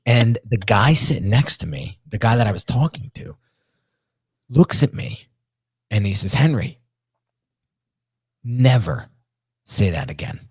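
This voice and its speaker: Deep-voiced man with a strong Jersey accent. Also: unhurried, pauses often, husky, no echo. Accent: New Jersey. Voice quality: deep register. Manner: animated storyteller, repeats words, many restarts. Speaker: podcast host. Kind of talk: casual interview chat.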